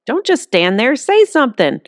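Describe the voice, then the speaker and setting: A woman's voice: sits high, very animated, moderate pace, no echo, clean studio sound, voice pushed up. A woman voicing a frightened mother with a shaky, panicked voice in an audiobook.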